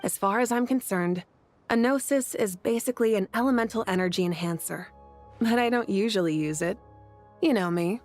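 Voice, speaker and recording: Lady voicing confident easygoing game goddess, kinda teasing, breezy, mid pitch, bit of music under it. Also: moderate pace, darkish tone, natural voice. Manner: breezy, self-assured, teasing. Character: confident easygoing female leader god of a fiery nation. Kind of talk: video game voice acting.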